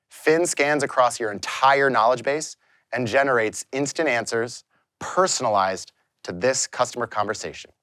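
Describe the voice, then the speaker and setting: Male product demo narrator, medium pitch, scripted delivery, very dry recording. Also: a bit bright, no room sound.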